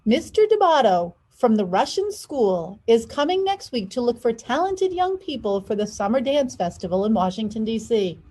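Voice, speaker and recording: A woman's voice: a little high, very animated, moderate pace, few pauses, mellow, husky. A woman voicing a teasing female ballet teacher from a storybook, playful and exclaiming. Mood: announcing.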